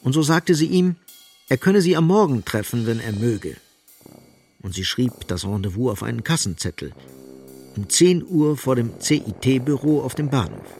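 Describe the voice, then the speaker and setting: An actor playing the storyteller of a literary radio drama, narrating deliberately and expressively. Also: male voice, medium pitch, very animated, a little mellow. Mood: observant. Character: omniscient male storyteller of a literary novel. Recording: faint music behind the voice.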